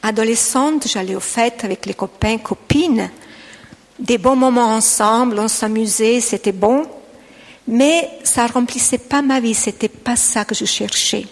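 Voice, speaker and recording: A middle-aged woman with an Italian accent, giving a gentle, hesitant personal faith testimony to an audience. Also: a little high, a little slow, long reverb tail. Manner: gentle, hesitant, with frequent restarts.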